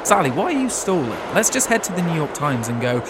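A man's voice: fairly high pitch, quick pace, very noisy. A man with a British accent acting as a brash, crude, bumbling show host in a comedy radio play. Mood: blustering, cocky. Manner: excitable, blustering, sweary.

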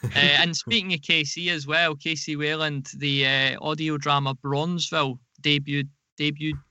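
A man's voice: fairly high pitch, little pitch movement, moderate pace, sharp tone, smooth, dry recording. A man chatting casually as a podcast guest, informal and dryly humorous. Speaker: podcast guest, audio drama producer. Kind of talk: casual interview chat.

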